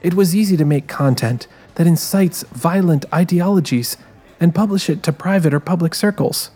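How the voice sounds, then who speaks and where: A man's voice: a little high, normal speed, mellow, husky. A man voicing a rueful, determined young woman who narrates her story in a confiding way. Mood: musing. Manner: musing, confiding. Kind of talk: first-person dramatized scripted narration.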